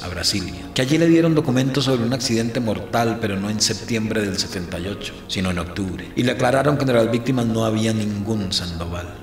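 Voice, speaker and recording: An actor playing a guilty, haunted Colombian priest, narrating a radio drama in a grave, literary, measured way. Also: male voice, lowish voice, reverberant, close to an everyday voice. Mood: grave recounting. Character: guilty, haunted priest recounting his own unmasking.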